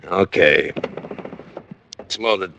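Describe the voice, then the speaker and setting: A man's voice: low-pitched, drawn-out words, vocal fry, bright, forceful tone, muffled recording, slight background noise, full character voice. A man playing a tough, defensive nightclub owner in an old radio drama, curt and combative. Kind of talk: 1940s-50s radio drama acting.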